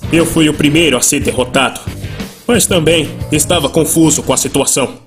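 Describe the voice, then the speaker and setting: A man plays a proud, grumpy warrior with a fairly high voice. He is curt and dismissive, acted for a Brazilian anime fan dub over loud music. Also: a little fast, close to an everyday voice. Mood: proud irritation. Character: proud, grumpy adult male warrior rival.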